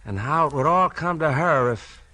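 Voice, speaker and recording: A man's voice: on the high side, lively intonation, moderate pace, sharp tone. A man with an American accent acting a folksy, resigned crooked policeman in a 1940s radio crime drama, talking in rueful slang. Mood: rueful, confessing.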